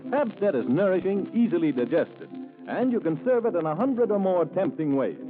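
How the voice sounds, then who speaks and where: A man's voice: sits high, sing-song, normal speed. A man reading a scripted 1940s radio advertisement in an enthusiastic, polished selling manner. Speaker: commercial announcer. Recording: tinny 1940s broadcast with music.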